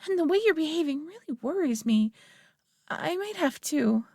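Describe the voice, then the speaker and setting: A superior alien woman, played by a female performer in a roleplay audio drama, proud and possessive yet tender. Her voice sits fairly high with a creaky edge, recorded very dry. She speaks with deliberate, condescending care. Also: expressive, a little mellow, dry recording. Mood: remorseful, pleading.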